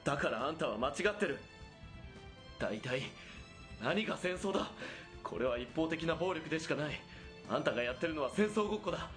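A man's voice: on the high side, lively intonation, brisk, fairly bright tone, soft background music. A man playing a principled, dutiful military police sergeant in a period radio drama, speaking formally, with earnest restraint. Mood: righteous indignation.

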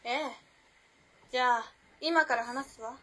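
A woman's voice: high-pitched, a little slow, sharp tone, slightly rough, full character voice. A woman playing a self-possessed, teasing modern-girl storyteller in a radio drama, with breezy, ladylike phrasing.

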